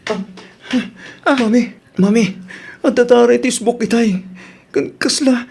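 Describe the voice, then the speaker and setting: A woman playing a weak, ailing child who talks to mother in a plaintive, halting way, in an Ilocano-accented radio drama. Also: on the high side, fairly even intonation, vocal fry, a little mellow, husky.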